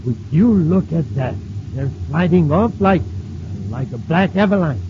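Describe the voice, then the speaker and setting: An actor playing a measured, helpful scientist in an old-time radio sci-fi serial, speaking precisely. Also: male voice, on the high side, lively intonation, lo-fi sound. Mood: awed, concerned. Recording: thin, noisy old radio broadcast recording.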